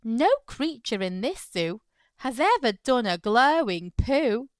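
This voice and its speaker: A woman's voice: a little high, big pitch swings, takes it slowly, dry recording, clean studio sound. A woman voicing an overworked male zookeeper in a storybook, whispering to himself in wonder. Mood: hushed wonder. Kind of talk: storybook character voice.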